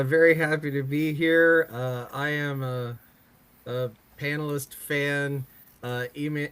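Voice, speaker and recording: A man's voice: a little high, fairly bright tone, clean tone. A man introducing himself casually as a panelist, speaking in short, hesitant phrases. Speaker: panelist, podcaster.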